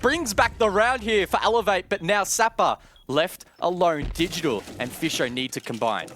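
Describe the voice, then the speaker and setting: A man's voice: high-pitched, normal speed, a bit bright, a little hiss or hum. A man giving live esports colour commentary, breaking down tactics and odds and lifting sharply on big plays. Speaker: esports analyst caster.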